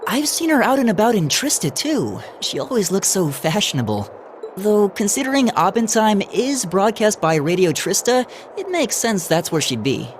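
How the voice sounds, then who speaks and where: A woman's voice: mid-range voice, sing-song, normal speed, a little hiss or hum, close to an everyday voice. An actress playing a thoughtful young female academy student in a video game, earnest and conversational. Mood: thoughtful, reassured. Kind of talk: game dialogue acting.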